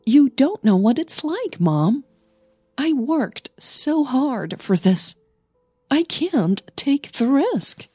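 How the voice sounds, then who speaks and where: A woman's voice: high-pitched, sing-song, slow, mellow, breathy edge, no echo, faint music behind, voice pushed up, exaggerated voice. A woman voicing an anxious, defensive young daughter in an audio drama. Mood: defensive, tense.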